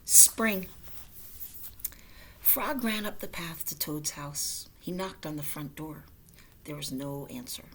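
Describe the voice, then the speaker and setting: A woman reading a children's story aloud in a gentle storytelling style. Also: sits low, animated, takes it slowly, pauses often, a little hiss or hum, low-quality recording. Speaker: storybook reader.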